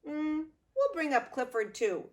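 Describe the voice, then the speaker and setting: Slow, animated grandmother reading a storybook. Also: sits high. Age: older. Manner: expressive, unhurried, addressing small children. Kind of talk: storybook read-aloud with playful asides to young children.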